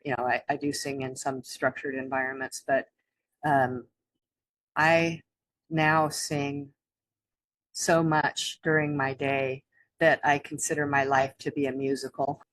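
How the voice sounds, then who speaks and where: A woman co-hosting a spiritual talk, speaking haltingly with many restarts and soft laughs. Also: extremely low pitch, flat delivery, no echo, poor audio. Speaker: co-host. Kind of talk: spiritual teaching chat.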